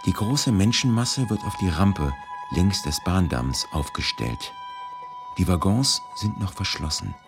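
A man with a very deep voice narrates a scripted radio documentary. His delivery is grave and deliberate, each phrase measured, and music plays clearly underneath him. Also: animated. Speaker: reader of a historical eyewitness testimony.

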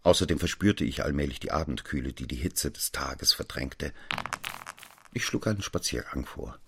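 A man playing a stern investigating judge who narrates the story in the first person, measured and descriptive. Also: very deep voice, lively intonation, vocal fry, background noise, heavily acted. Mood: tired. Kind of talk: scripted radio drama narration. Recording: studio radio play with faint music.

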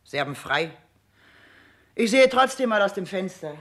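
An actress playing a plain-spoken, overworked palace maid who grumbles matter-of-factly in a 1960s radio play. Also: female voice, medium pitch, animated, normal speed, a little hiss or hum, natural voice. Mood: matter-of-fact, amused.

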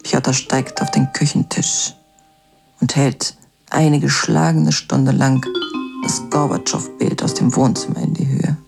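A man's voice: medium pitch, flat delivery, unhurried, gravelly. A man narrating a literary radio play in a laconic, detached way. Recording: music behind the voice. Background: music.

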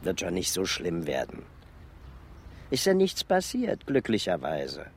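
A man's voice: low voice, wildly swooping pitch, unhurried, slightly rough, heavily acted. A man playing a wry, easygoing police officer in a German crime radio drama, speaking in a colloquial, musing way. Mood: wry, reassuring. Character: dry, easygoing male police colleague, wry.

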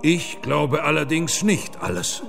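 Stern historical investigator for radio drama; deeply creaky, probing delivery over faint music. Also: male voice, fairly even intonation, unhurried. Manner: insistent, pointed questioning. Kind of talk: German radio play acting.

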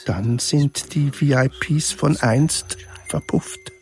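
A man narrating a radio drama in a grave, measured, solemn way. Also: medium pitch, soft background music. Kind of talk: slow, solemn literary narration.

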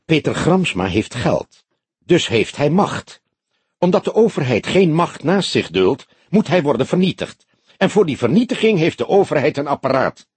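A man's voice: fairly high pitch, very animated, normal speed, a little mellow, breathy edge, no room sound, voice pushed up, exaggerated voice. A man voicing an arrogant, venomous businessman in his thirties in an audiobook, snappy, impatient and haughty. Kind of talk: Dutch audiobook character dialogue.

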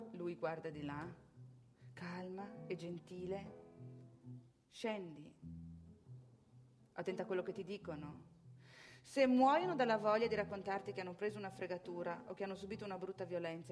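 An actress playing a seasoned street prostitute who coaches a newcomer in an emphatic, blunt theatrical radio monologue. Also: female voice, mid-range voice, lightly acted. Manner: instructing, emphatic, blunt, second-person advice.

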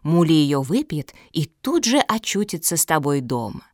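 A woman voicing a sharp-tongued, cynical female squirrel in a storybook, domineering and insinuating. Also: sits low, very animated, normal speed, no echo, studio quality. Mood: triumphant. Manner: insinuating, triumphant, interrogating.

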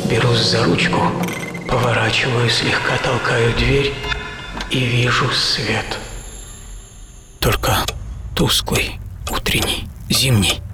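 A man delivers scripted first-person narration like an audio play, measured and theatrical. He leaves pauses between short phrases. His mid-pitched voice sits over very prominent music. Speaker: author and narrator of a radio programme. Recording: loud music under the voice.

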